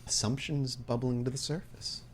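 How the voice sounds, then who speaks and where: A man's voice: mid-range voice, flat delivery, normal speed, slight background noise. A man working as game master, narrating tabletop scenes descriptively.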